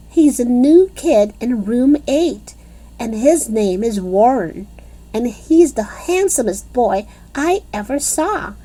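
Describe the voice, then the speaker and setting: A woman's voice: a little high, mellow, pitched above the performer's own voice, low-quality recording. A woman voicing a spoiled, bossy little schoolgirl who hollers and whines. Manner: whiny, hollering, demanding.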